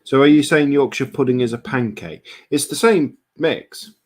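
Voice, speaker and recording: A man's voice: mid-range voice, normal speed. A man, co-hosting a livestream with jokey, teasing banter. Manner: joking, teasing. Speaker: livestream co-host. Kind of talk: casual banter.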